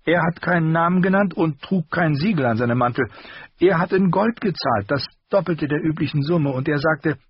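A man voicing a stubborn older male master armorer in a high, strained, pleading voice. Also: normal speed, husky, no room sound. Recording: dull lo-fi recording.

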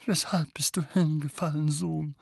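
A man voicing a bad-tempered middle-aged uncle who barks and splutters loudly. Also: a little high, big pitch swings, drawn-out words, slightly rough, no room sound, studio quality, pitched above the performer's own voice, heavily acted. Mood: blustering. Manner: loud, barking, spluttering.

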